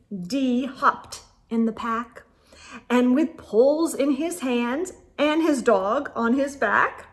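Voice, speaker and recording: A woman reading a children's picture book aloud as a storytime host, with theatrical, suspenseful delivery and little asides. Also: a little high. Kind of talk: expressive children's read-aloud narration.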